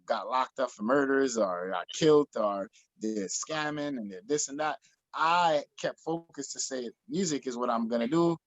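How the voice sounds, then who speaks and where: A man, telling his story as a guest in an interview, animated, energetic, with many restarts. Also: medium pitch, no echo, low-quality recording. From Jamaica. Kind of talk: video call interview. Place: small room in front of a printed backdrop. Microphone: laptop or webcam on video call.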